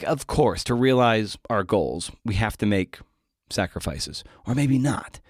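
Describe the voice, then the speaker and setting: A man's voice: lowish voice, no room sound, clean studio sound. A man in his forties hosting a podcast solo, thinking aloud in a casual, conversational, self-questioning way. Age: 40s. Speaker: podcast host. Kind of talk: reflective solo podcast monologue.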